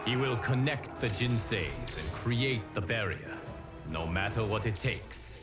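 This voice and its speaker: A slow, grave timeline guardian. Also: male voice, lowish voice, expressive. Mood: grave, foreboding. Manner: solemn, formal, measured. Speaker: game cutscene narrator. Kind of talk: dramatic scripted narration. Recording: studio voice under loud music. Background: loud cinematic music.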